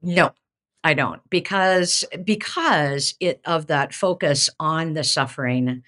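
A woman's voice: low-pitched, slow pace. A middle-aged woman, a seminary professor hosting a casual theological discussion, who talks emphatically and restarts her phrases often, on a video call from her home office. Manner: emphatic, animated, many restarts.

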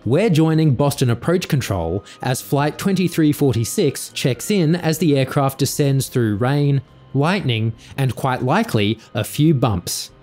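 A man's voice: mid-range voice, animated, unhurried, darkish tone, faint music behind. A man reading scripted explanatory narration for an aviation incident video.

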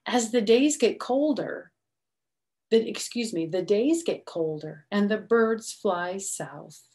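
Slow-paced middle-aged woman reading to children. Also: medium pitch. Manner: expressive, slow, simple explaining for young children. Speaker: storytime reader. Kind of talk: children's picture book read-aloud with chatty asides. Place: small room at home, indoors.

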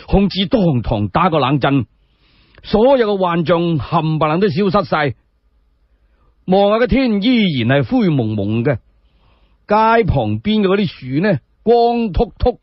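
A man with a Cantonese accent narrates a tale in dramatic, expressive fashion. His voice is raspy and swings widely in pitch. The recording is dry and slightly muffled. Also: no room sound. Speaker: solo storyteller. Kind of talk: dramatic scripted storytelling narration. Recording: dry, dull lo-fi audio.

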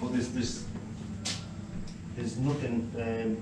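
A man from the pub staff, explaining the cellar in quick, halting casual talk and repeating his words. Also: mid-range voice, level tone, roomy sound, recorded from a distance, heavy background noise. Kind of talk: casual chat on location.

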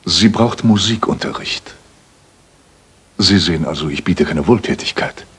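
An actor playing a courteous, frank widowed gentleman landowner in a German radio play, speaking in a measured, matter-of-fact way. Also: sits low, slightly rough, heavily acted. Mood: businesslike, frank.

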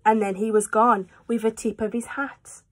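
High-voiced young woman reading aloud. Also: level tone, normal speed. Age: 20s. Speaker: teacher reading a story. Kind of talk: children's storybook read-aloud. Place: small room at home, indoors. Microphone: phone at arm's length.

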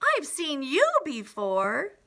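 A woman's voice: high-pitched, huge pitch swings, takes it slowly, creaky, fairly bright tone, voice pushed up, exaggerated voice. A woman voicing a lazy, brazen female bird in a children's storybook, exclaiming brashly. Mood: excited.

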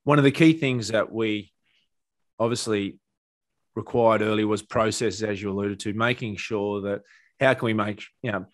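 A man's voice: lowish voice, steady tone, moderate pace, no room sound, studio quality. A man working as a business coach, talking conversationally and explaining as he interviews a client. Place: small home office on a video call. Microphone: headset or desk mic, close.

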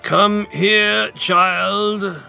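A man voicing a furious, tyrannical high king in a storybook, bellowing slow commands. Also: steady tone, gravelly, sharp tone, faint music behind, exaggerated voice. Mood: commanding.

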